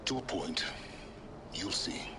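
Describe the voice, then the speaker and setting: An actor playing an enigmatic, calculating older scholar in a video game, measured, dry and superior. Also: male voice, low-pitched, lively intonation, heavy background noise. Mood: urging, cryptic.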